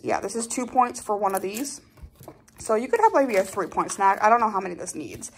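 A chatty young woman talks through her grocery haul indoors at a table. Her voice sits at an everyday middle pitch, and she thinks aloud with small fillers. A faint hiss runs behind her. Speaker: vlogger. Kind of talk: casual grocery haul talk.

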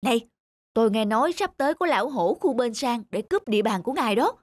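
An actor voicing a cunning, familiar, needling wolf sidekick in an animated fable. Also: medium pitch, fast, lightly acted. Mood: teasing. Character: cunning, teasing wolf sidekick, informal troublemaker. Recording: loud music behind the voice.

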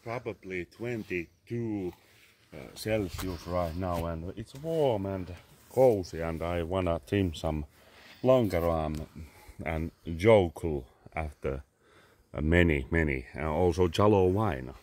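Slow, deep Finnish-accented man. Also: darkish tone. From Finland. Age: 30s. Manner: halting, many "uh" fillers, swearing, sipping a drink. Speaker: vlogger. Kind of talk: casual rambling selfie vlog. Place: garden among trees, outdoors. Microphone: phone held at arm's length.

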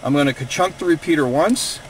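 A man's voice: fairly high pitch, brisk. A man hosting an instructional radio gadget demonstration, speaking from inside a vehicle. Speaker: radio hobbyist video host. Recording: steady vehicle and fan noise.

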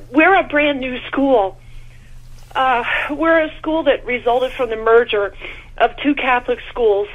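A woman's voice: sits high, steady tone, slow pace, low-quality recording. A woman choir director calling a radio show, overwhelmed and close to tears. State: overwhelmed, tearful. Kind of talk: emotional live reaction.

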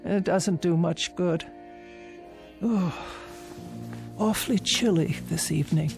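An actress playing a frail, fretful, lonely old woman in a radio drama, with a creaky voice. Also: lowish voice, slow, a little mellow, faint music behind. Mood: uneasy, weary. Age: older.

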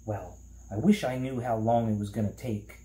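A man's voice: on the low side, steady tone, normal speed, warm tone, echoey room, natural voice, rough recording. A man voicing an earnest, polite and slightly hesitant young boy in a storybook. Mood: puzzled, earnest.

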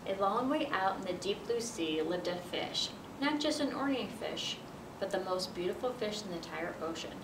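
A woman's voice: expressive, normal speed. An American woman in her thirties reading a picture book aloud to children. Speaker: children's library storyteller. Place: library children's area, indoors. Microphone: camera a few feet away. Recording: roomy, distant mic with noisy rumble.

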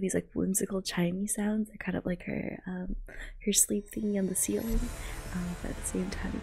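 A young woman giving gushing, casual reaction commentary in a hushed, half-whispered ASMR manner, close to the microphone. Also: lowish voice, vocal fry. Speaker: VTuber reacting to a game trailer.

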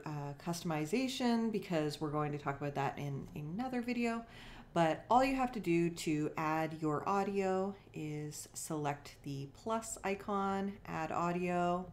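A woman with a low-pitched voice presents a screencast tutorial. She takes her time, explaining each step in an informal, casual style. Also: darkish tone, slight background noise.

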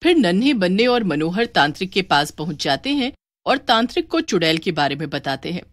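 Expressive woman storyteller with lively pitch. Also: a little fast, dry recording, clean studio sound. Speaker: narrator. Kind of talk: scripted story narration.

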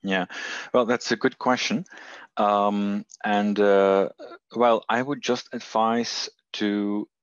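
A man's voice: fairly low pitch, fairly even intonation, takes it slowly, smooth, no room sound, highs cut off. A man from Belgium with a Belgian accent, presenting research over a video call, hesitant, with many fillers and restarts. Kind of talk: conference talk on a video call.

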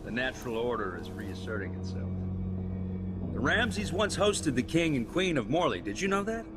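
An actor playing a smug, pompous, treacherous guard captain of noble birth in a video game. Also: male voice, medium pitch, very animated, moderate pace, natural voice. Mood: smug, boastful. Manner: pompous, self-satisfied. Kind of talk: dramatic game voice acting. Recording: loud music over the voice.

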